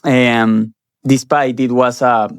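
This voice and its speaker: Young guy, earnest and measured, dry close mic. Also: medium pitch, flat delivery, clean tone, no room sound. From Peru. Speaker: guest, surgery resident. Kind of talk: interview answers.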